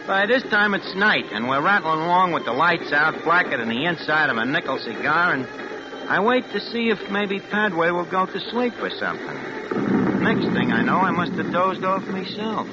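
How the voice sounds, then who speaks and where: A man's voice: high voice, big pitch swings, moderate pace, noisy background. An actor playing a shifty small-time crook in a 1940s radio drama, talking in tough-guy slang with a confiding, nervous manner. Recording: dull old radio recording with music.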